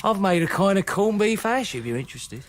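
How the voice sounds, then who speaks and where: A man's voice: sits high, big pitch swings, fairly quick. An actor in a radio drama playing a wry, resigned male survivor, speaking in a sardonic, laconic, fatalistic way. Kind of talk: dramatic radio play acting.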